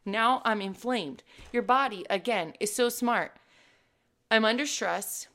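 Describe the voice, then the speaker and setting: A woman in her thirties, a fitness coach hosting her own podcast, talking to listeners in a casual, emphatic and animated way. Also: fairly high pitch, measured pace. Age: 30s. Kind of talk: casual solo podcast talk.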